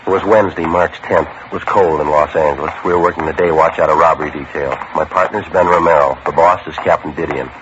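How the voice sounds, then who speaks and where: An actor playing a terse, weary police detective sergeant who narrates his case in a clipped, deadpan way, in a 1950s radio drama. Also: extremely low pitch, quick pace, few pauses. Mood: matter-of-fact. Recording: dull old radio broadcast with hiss.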